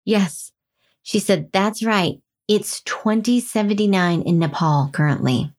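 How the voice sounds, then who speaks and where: A woman's voice: mid-range voice, a little slow. A woman co-hosting a podcast in a casual, conversational style. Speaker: podcast co-host. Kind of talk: casual chat and reading listener mail.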